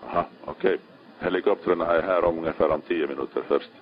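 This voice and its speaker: A man's voice: sits low, fairly even intonation. A man, speaking as a ship officer coordinating a rescue over marine radio. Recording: muffled, band-limited marine radio transmission.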